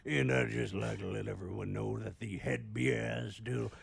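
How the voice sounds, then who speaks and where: A man voicing a dim, rambling creature who chairs a club meeting in a halting, gravelly comic voice. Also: fairly low pitch, steady tone, exaggerated voice. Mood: rambling, earnest. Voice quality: gravelly, creaky. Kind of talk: comic character impression in a fan video. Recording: crowd noise, replayed on a stream.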